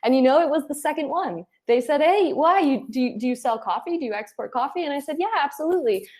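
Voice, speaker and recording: Higher-voiced woman giving conversational advice. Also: animated, fast talker, darkish tone. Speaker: interview guest, head of client acquisition. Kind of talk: conversational interview, advice with personal anecdotes.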